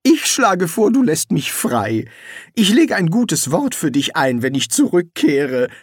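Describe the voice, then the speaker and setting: A narrator voicing a nervous male wizard who bluffs as a demon, sarcastic and hesitant, with a strained high voice full of ums. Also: sing-song, measured pace, husky, no echo, studio quality. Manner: sarcastic, evasive, pleading. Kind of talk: audiobook reading.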